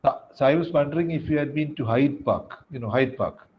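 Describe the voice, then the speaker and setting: A man, chatting as an interviewer in a halting, digressive way. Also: a little high. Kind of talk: casual conversation, anecdote telling. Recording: dull lo-fi call with background noise.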